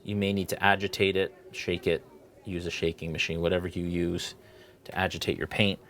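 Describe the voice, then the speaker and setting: A man hosting a live miniature painting tutorial, relaxed and chatty, thinking aloud as he paints. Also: sits low, brisk, a little hiss or hum.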